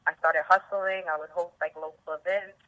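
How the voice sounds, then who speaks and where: A woman's voice: on the low side, steady tone, normal speed, sharp tone, no room sound, muffled recording. An American woman from New York chatting casually as an interview guest, outgoing, talkative and slangy, over a band-limited line. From Bronx and Harlem, New York, United States. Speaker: interview guest, TV host and film reporter.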